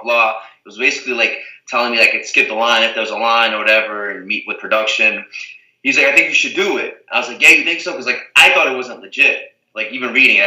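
A man's voice: mid-range voice, bright, forceful tone, roomy sound, far from the mic, poor audio. A young man from the Azores, a reality TV guest, chatting casually and rambling with lots of "like" and "you know what I mean". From Portugal, the Azores. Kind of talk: casual interview chat.

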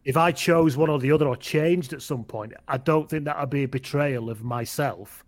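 A man's voice: a little high, moderate pace. Wry Lancashire-accented male podcast host. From England, raised in Lancashire and Wales. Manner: rambling, self-correcting, wry asides. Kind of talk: casual rugby podcast chat.

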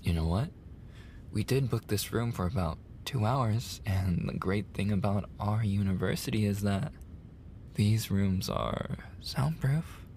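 Soft, low, gravelly male college tutor, acted by a man. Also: slight background noise. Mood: playful, coaxing.

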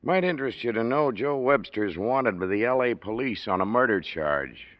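A man's voice: mid-range voice, animated, normal speed, no echo, close to an everyday voice. A man playing a laconic small-town sheriff in a 1940s radio drama, matter-of-fact and folksy. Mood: probing, casual. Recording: dull, dry vintage radio recording.

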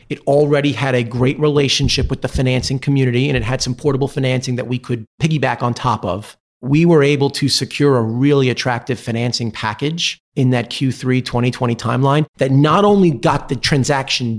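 A man speaking as an executive guest in a business interview, giving measured, articulate, explanatory answers. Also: mid-range voice.

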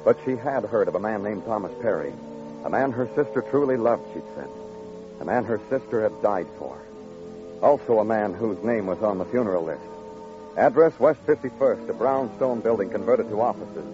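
Terse, world-weary male detective, acted by a man, low pitched, dry and clipped, vintage radio crime show, muffled sound. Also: animated, moderate pace, over music, lightly acted. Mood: wry, somber. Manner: clipped, dry, literary narration. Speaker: narrator and lead actor. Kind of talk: old-time radio detective drama. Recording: muffled, noisy old radio recording.

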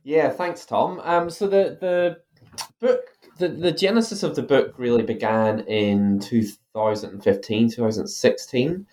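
A man's voice: mid-range voice, a little slow, a little mellow. A man with an Irish accent, an author explaining his ideas in an interview, thoughtful and full of fillers and restarts. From Ireland. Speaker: author guest. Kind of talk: academic interview.

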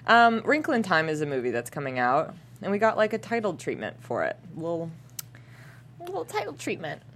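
Woman host, low register, chatty and giggly, faint background hum. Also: wildly swooping pitch, brisk, fairly bright tone. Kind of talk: casual news chat.

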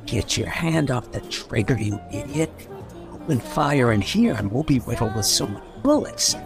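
An actor playing a roguish, wary, wisecracking mayor in a radio drama, casual and sly. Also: male voice, mid-range voice, big pitch swings, normal speed, creaky, over music. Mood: wary, exasperated.

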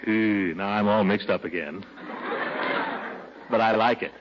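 An actor playing a pompous, sentimental middle-aged bachelor in 1940s radio comedy style. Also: male voice, fairly low pitch, measured pace, background noise, close to an everyday voice. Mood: musing. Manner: theatrical, sentimental. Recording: dull old radio recording, loud music.

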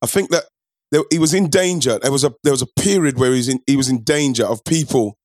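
A man hosting a casual football podcast, laid-back and affectionate, quick with short agreements. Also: mid-range voice, a little mellow, dry recording, studio quality. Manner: laid-back, affectionate, lots of "yes" and "nice one". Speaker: podcast host.

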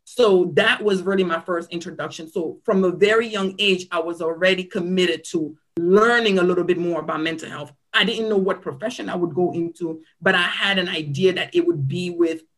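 A woman's voice: fairly low pitch, fairly quick, few pauses, a bit bright. A woman from Cameroon in her thirties, a nurse practitioner giving interview answers in an earnest, reflective storytelling manner. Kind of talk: conversational interview.

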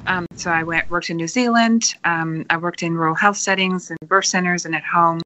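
A woman in her forties from the Netherlands, a podcast guest speaking earnestly and filling pauses with many ums. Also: fairly low pitch, fairly even intonation, bright, forceful tone, lo-fi sound, a little hiss or hum. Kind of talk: podcast interview.

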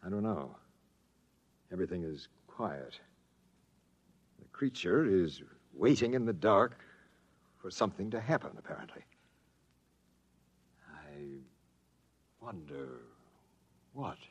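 An actor playing a cool, confident alien commander in a 1950s radio drama, authoritative and deliberate. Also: male voice, deep voice, very animated, slightly rough, lo-fi sound, full character voice. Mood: hushed puzzlement.

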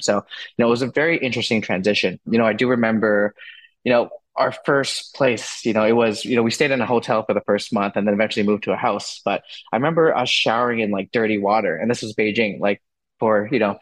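Brief: male podcast guest from Canada, low voice, rapid chatty delivery with many fillers. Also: dry recording. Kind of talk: casual interview chat.

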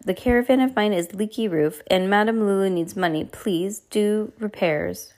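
A woman voicing a pleading, flattering fortune-teller from a storybook, with a vague foreign accent and theatrical broken English. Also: medium pitch, moderate pace, voice pushed up, close to an everyday voice. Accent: exaggerated vague foreign.

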